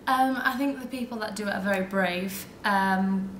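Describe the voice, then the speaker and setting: A woman's voice: mid-range voice, normal speed, music in the background. A hesitant woman giving a casual vox pop as an audience member. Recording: noisy, echoey venue.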